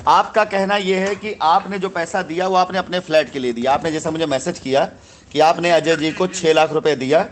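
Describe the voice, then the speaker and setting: A man's voice: a little high, steady tone, a little fast, slight background noise. A man speaking with authority as a company boss mediating a dispute on a recorded phone call, often cutting in and repeating himself.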